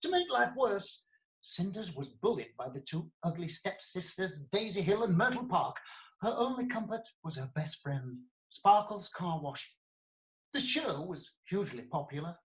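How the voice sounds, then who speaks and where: A woman's voice: on the low side, sing-song, moderate pace, poor audio. A woman playing an earnest prosecuting lawyer in a comic courtroom radio play, formally and theatrically recounting a case. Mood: dramatic storytelling. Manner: formal, storytelling, deferential.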